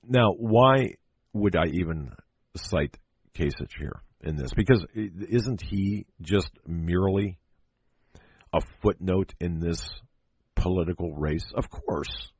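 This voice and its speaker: A low, husky-voiced American man delivers a political radio monologue in a dull, close and dry recording. He speaks emphatically and haltingly, repeating phrases and posing rhetorical questions to make his case. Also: a little mellow, no room sound. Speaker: talk show host.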